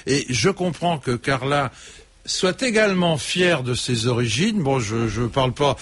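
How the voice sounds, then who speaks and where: A man's voice: medium pitch, lively intonation, unhurried, a bit bright, husky. An older man, a former police commissioner, speaking emphatically in a radio debate, with many hesitations. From Italian descent, raised in France. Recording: radio studio broadcast.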